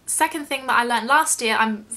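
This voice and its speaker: A woman's voice: a little high, normal speed, pushed and bright. A young British woman chatting casually in a question-and-answer vlog, rambling a little and self-deprecating. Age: young adult. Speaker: YouTube vlogger.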